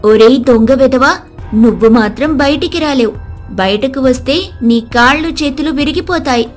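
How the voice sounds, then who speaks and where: A woman narrator acts out a suspicious mother-in-law from a moral story. Her voice is high and loud, scolding and demanding answers. Soft music plays behind her. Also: normal speed, close to an everyday voice. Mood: angry, threatening.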